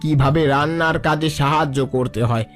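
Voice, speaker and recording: A humble bandit henchman from a cartoon story, played by a man. His voice is on the high side for a man, and he talks earnestly and respectfully. Light music plays underneath. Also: moderate pace, close to an everyday voice. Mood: hopeful, grateful. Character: loyal male bandit henchman, humble and reforming. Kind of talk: Bengali cartoon story dialogue.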